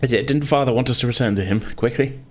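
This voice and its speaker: A man's voice: mid-range voice, quick pace, close to an everyday voice. A man voicing a timid, eager-to-please son, stammering and apologetic, in a tabletop game.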